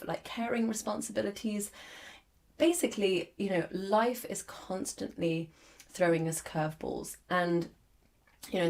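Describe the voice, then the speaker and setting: A woman in her forties hosting a podcast, hoarse as her lost voice comes back, talking candidly and reflectively with many fillers. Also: on the low side, a little mellow. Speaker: podcast host. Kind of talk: solo podcast monologue.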